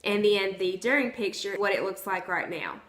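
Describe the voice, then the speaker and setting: Woman, hoarse, bright voice, chatty vlog talk, echoey room. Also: mid-range voice, moderate pace. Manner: chatty, conversational. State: hoarse from the flu. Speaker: vlogger. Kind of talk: casual chat to camera. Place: at home, indoors. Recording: roomy echo.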